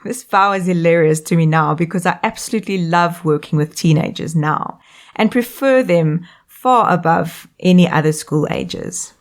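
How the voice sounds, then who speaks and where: A South African woman in her thirties, a life coach hosting her own podcast, reading a scripted monologue in an upbeat, expressive way. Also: on the low side, normal speed.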